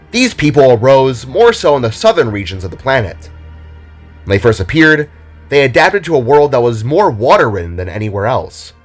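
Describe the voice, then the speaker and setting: A man reading scripted, dramatic narration for an audio drama story. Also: mid-range voice.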